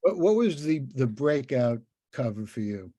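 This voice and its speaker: Casting: older man as interview host, effusive and discursive, with a relaxed dark tone and very dry sound. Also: medium pitch, measured pace, no echo. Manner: effusive, rambling. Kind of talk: conversational interview.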